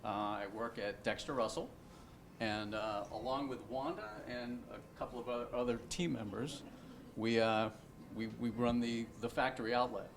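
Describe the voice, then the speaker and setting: Soft-spoken male local business speaker, mid pitch, informal, faint room noise. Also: fairly even intonation, normal speed. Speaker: factory outlet staffer. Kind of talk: public talk at a meeting.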